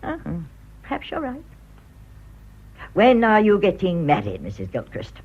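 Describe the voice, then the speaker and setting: Elderly British village busybody: husky, very animated, sly and blunt, radio drama. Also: female voice, medium pitch, normal speed. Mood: sly, teasing. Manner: teasing, pointed, blunt. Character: nosy, sly old village spinster with arthritis. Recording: muffled radio drama recording.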